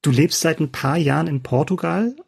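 A man hosting a podcast, asking measured interview questions and reading a scripted introduction. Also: fairly high pitch, vocal fry, a little mellow, husky. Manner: measured, asks long framed questions.

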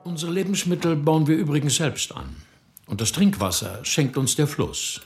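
An actor playing a self-assured village leader in a radio play, speaking in a lofty, preaching manner that drops to a hush. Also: male voice, fairly high pitch, big pitch swings, moderate pace, soft background music. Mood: persuasive. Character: smooth-talking village leader, older man, self-assured.

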